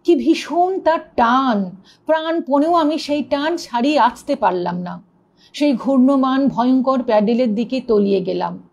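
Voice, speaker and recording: A woman with a fairly high voice narrates a ghost story as a storyteller. Her reading is dramatic and expressive, keeping up the suspense as she goes. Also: unhurried, gravelly.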